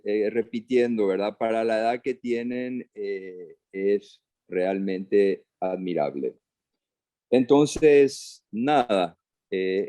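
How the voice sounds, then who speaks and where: A man hosting a remote class, introducing a guest slowly and hesitantly with many fillers. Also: lowish voice, warm tone, no echo. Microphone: video call.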